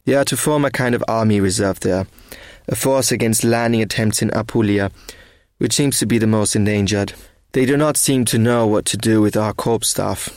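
Wartime audio drama: man to play German officer diarist, dry and matter-of-fact, fairly deep, very dry acoustic. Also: steady tone, normal speed, darkish tone, dry recording, close to an everyday voice. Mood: sober, matter-of-fact. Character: weary, sceptical German army officer writing a war diary. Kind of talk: read-aloud wartime diary, measured dramatic narration.

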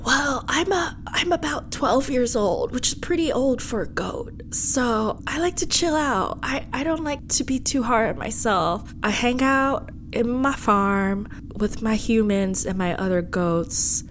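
A woman voicing a laid-back, mellow twelve-year-old male goat who keeps calling people "man". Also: fairly high pitch, lively intonation, measured pace, hardly any pauses, slight background noise. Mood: chill. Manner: mellow, says "man" a lot. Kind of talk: improvised comedy.

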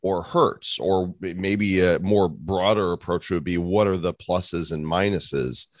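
A man's voice: low-pitched, a little slow, no room sound, studio quality. A man hosting a casual podcast, asking long rambling questions with a joking edge.

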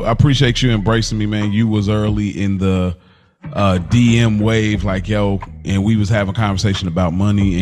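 A man co-hosting a podcast, chatting casually and full of slang. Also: mid-range voice. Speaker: podcast co-host.

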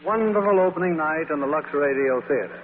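A man's voice: a little high, expressive, fairly quick. A man hosting a 1940s radio theatre with scripted announcing and chat with stars. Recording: echoing 1940s radio broadcast, narrow band, hiss.

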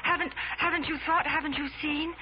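High-voiced anxious young woman, played by an actress, old radio. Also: fairly even intonation, brisk, lightly acted. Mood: distraught. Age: young adult. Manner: hesitant, earnest, dramatic. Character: earnest, anxious, self-doubting young woman, a twin sister. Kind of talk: 1940s radio drama acting. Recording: noisy, muffled old 1940s radio recording.

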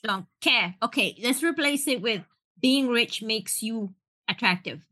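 A woman from Nigeria co-hosting a podcast, playful and teasing, with quick retorts. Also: a little high, lively intonation, bright, forceful tone. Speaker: podcast co-host. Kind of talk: casual debate chat.

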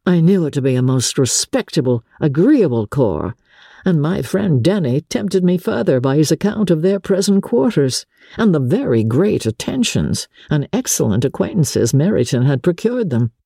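Classic storybook gentleman officer performed by a woman: genteel, aggrieved, confiding, soft-edged husky delivery with expressive swings. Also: deep voice, no room sound, studio quality, heavily acted. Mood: genteel, self-pitying. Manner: measured, plaintive, confiding. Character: smooth-talking, wronged young gentleman officer, wounded and genteel.

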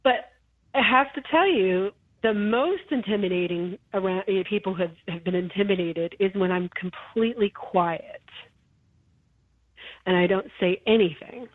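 A woman's voice: medium pitch, moderate pace, no echo. A woman hosting a talk show, speaking earnestly and reflectively and often restarting her sentences. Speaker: show host. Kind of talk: conversational interview talk. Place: her home. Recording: tinny phone-like line.

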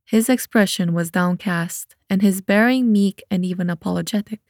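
A woman's voice: medium pitch, normal speed, darkish tone, no room sound. Dry, close-recorded woman narrator. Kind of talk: scripted audiobook narration.

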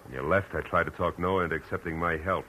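Very deep-voiced American private eye. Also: male voice, fairly even intonation, quick pace, bright, forceful tone. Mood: blunt, urgent. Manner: clipped, commanding, world-weary tough talk. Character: hard-boiled male private detective from Los Angeles. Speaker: lead actor and first-person narrator. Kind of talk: 1940s radio detective acting and narration. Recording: noisy old-time radio recording.

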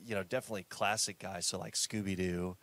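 A man's voice: low-pitched, steady tone, fast, a bit bright. A man in his early thirties co-hosting a sports podcast, easygoing and hedging with lots of filler. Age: 30s. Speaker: podcast co-host. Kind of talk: casual sports chat.

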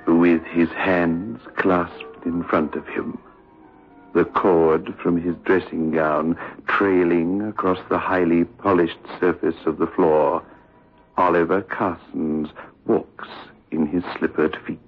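An actor playing a macabre horror-show host, narrating 1960s radio horror with ominous, drawn-out deliberation. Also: male voice, low-pitched, flat delivery, slightly rough, faint music behind, heavily acted. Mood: ominous narration. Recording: tinny old radio recording.

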